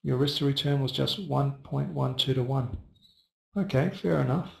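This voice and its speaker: Male host, loose and chatty, talks to viewers by name; gravelly voice, roomy echo. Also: medium pitch, moderate pace. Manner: chatty, rambling, addresses viewers by first name. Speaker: trading webinar host. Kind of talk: casual live webinar talk answering viewer chat.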